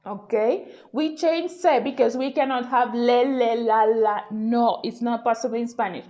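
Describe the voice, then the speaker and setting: A woman's voice: a little high, steady tone, moderate pace, a little mellow, highs cut off. A woman language teacher giving an informal grammar lesson, explaining patiently and often checking in with the learner.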